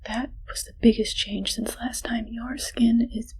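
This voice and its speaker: A woman voicing a friendly but evil scientist in a whispered ASMR medical roleplay, breathy, coaxing and playful. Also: a little high, steady tone, a little hiss or hum. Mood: playful, clinical. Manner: slow, soft, playful, coaxing.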